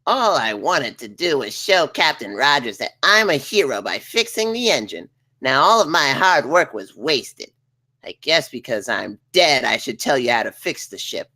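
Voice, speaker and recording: A woman's voice: rumbling low, very animated, moderate pace, sharp tone, heavily acted. A woman playing a spiteful, gloating male villain who becomes a bug mutant, taunting theatrically in a comedy table read.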